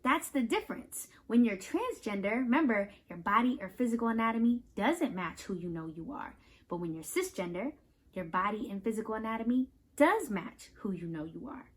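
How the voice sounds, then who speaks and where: A woman with a high voice reads aloud for children as a host and teacher. Her pitch swings widely as she explains things step by step. She speaks straight to the listener, checking in with simple questions. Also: brisk, slightly rough. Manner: animated, instructive, addresses listeners with questions. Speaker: host reading a picture book aloud to children.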